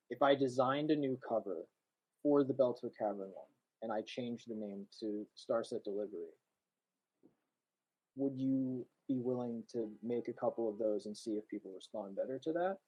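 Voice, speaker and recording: Hesitant man with a husky voice. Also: mid-range voice, darkish tone, low-quality recording. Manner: hesitant, lots of fillers and restarts. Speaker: comic artist. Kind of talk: casual chat.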